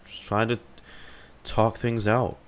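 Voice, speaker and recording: A man's voice: fairly low pitch, level tone, slow pace, slight background noise. A man giving casual reaction commentary while he plays a game. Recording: game music playing behind the voice.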